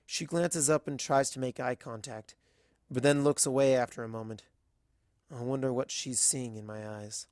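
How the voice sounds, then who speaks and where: A narrator giving an expressive, dramatic reading of scripted first-person fiction. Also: mid-range voice, normal speed, dry recording, studio quality. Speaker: audiobook narrator.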